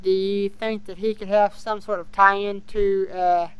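A podcast co-host chatting casually as a fan, speaking in a halting way with hesitations that trail off. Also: medium pitch, little pitch movement, clean tone, no room sound, lo-fi sound.

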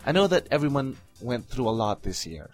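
A man hosting his own podcast, talking calmly and earnestly in a reflective solo monologue with soft fillers. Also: medium pitch, a little fast, faint music behind. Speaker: podcast host.

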